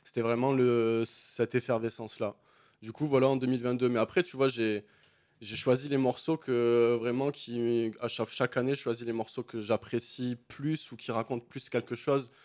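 A man's voice: medium pitch, level tone, normal speed, a little mellow. A man, a beatmaker guest on a radio show, talking casually about making music, with loose slangy phrasing and many fillers.

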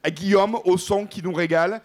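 A man's voice: high-pitched, steady tone, moderate pace. A man hosting a live music show, interviewing casually on stage in a chummy, teasing, informal way.

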